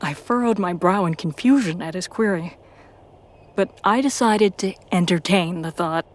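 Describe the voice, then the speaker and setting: A woman reading a first-person story as a dramatic narrator, with intense pauses and hushed, breathy phrases. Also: fairly low pitch. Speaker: narrator of a fan fiction audio story.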